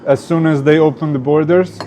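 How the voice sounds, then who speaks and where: A man's voice: on the high side, fairly even intonation, a little fast, mellow, a little hiss or hum. A man from Croatia speaking English with a Croatian accent as an interview guest, hesitant with many fillers. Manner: hesitant, many uh fillers, non-native phrasing. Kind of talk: casual interview answers.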